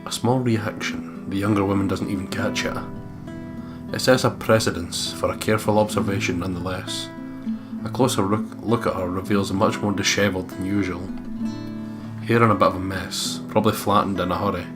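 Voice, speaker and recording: A man's voice: low-pitched, steady tone, a little fast. A man reading visual novel narration aloud and giving casual, sometimes profane commentary as a let's play co-host. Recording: music clearly behind the voice.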